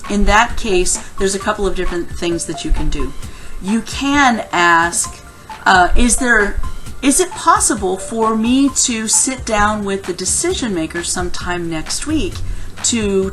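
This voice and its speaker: A woman giving instructional career advice in a conversational, emphatic way, like a coach speaking to viewers. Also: on the low side. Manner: conversational, emphatic, acts out example lines. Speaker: career coach presenting interview tips. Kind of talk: instructional advice talk to camera.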